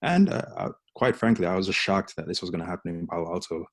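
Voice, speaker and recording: A young man from Jamaica, an artist and teacher, introducing himself on a video call panel, reflective and halting. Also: deep voice, creaky, dry recording.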